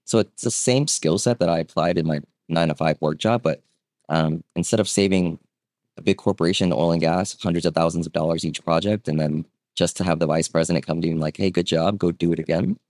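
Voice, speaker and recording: Very deep-voiced chatty man. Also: steady tone, brisk, darkish tone, dry recording, clean studio sound. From Vietnam. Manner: chatty storyteller, acts out quotes, many ums and rights. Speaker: guest, real estate agent. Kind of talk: casual podcast conversation.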